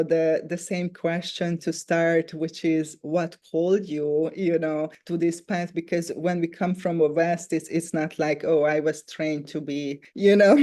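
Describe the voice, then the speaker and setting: A woman hosting a podcast interview, talking casually with many fillers like "uh" and "you know". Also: on the low side. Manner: warm-voiced filler-heavy talk with frequent "you know". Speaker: podcast host. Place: lives in Mexico.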